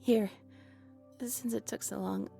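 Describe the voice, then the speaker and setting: Woman voicing shy, gentle young owl-woman shopkeeper. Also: a little high, steady tone, slow, gravelly, faint music behind, exaggerated voice. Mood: shy, warm. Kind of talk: romantic roleplay audio drama.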